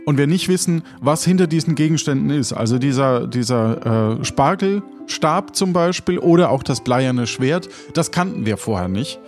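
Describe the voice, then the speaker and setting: A man's voice: mid-range voice, lively intonation, normal speed, hardly any pauses, darkish tone, faint music behind. A man hosting an improvised audio play podcast in a casual, chatty style. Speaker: podcast host and game leader. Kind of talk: casual podcast chat and credits.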